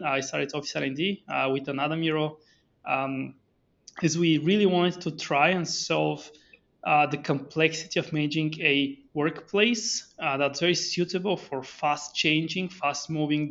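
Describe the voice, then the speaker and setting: A man's voice: fairly high pitch, normal speed, vocal fry, fairly bright tone, highs cut off. A man, a company founder guest on a podcast interview, talking with many fillers and restarts. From Bulgaria.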